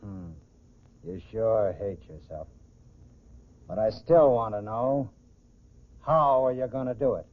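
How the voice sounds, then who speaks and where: An actor playing a skeptical, slangy American crook's sidekick in a 1940s radio crime drama. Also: male voice, on the low side, slow pace, long pauses. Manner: slangy, doubting, informal. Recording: muffled, phone-like 1940s radio recording.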